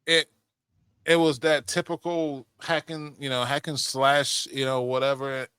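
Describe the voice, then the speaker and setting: Male podcast co-host, forceful bright voice, opinionated and sweary casual chat, close dry sound. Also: mid-range voice, level tone, a little slow, smooth, no room sound. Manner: blunt, opinionated, swears freely. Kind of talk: casual chat about movies and games.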